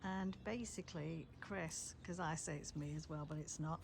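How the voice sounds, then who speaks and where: Chatty, lower-pitched English woman. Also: normal speed, vocal fry. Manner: chatty, informal, adds tag questions. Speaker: vlogger filming and presenting. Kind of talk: casual unscripted chat. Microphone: handheld phone or camera, filmed by the speaker. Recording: background noise, handheld camera sound.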